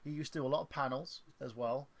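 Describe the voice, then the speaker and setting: A man in his thirties hosting a livestream, chatting casually in a halting way with lots of fillers and restarts. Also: medium pitch, steady tone. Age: 30s. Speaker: stream host.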